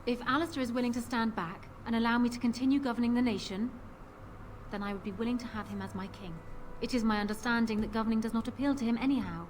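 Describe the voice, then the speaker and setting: A woman playing a proud, shrewd widowed queen in a video game, speaking in formal, measured phrases. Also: fairly high pitch, steady tone, a little hiss or hum, close to an everyday voice. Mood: cool, measured. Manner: formal, measured, composed wording. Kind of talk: scripted video game acting.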